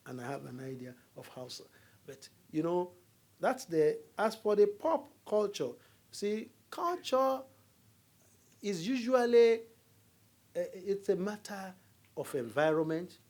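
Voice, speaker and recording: A middle-aged Nigerian man with a Nigerian accent, giving a university lecture as a diplomat, telling stories and jokes to the audience. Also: a little high. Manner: storytelling, animated, jokes with audience.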